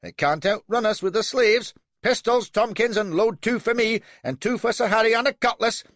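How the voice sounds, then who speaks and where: A man voicing an eager, hot-tempered young naval officer who barks orders in a high, cracking voice. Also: normal speed, dry recording. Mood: furious. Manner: excitable, shrill, barking orders.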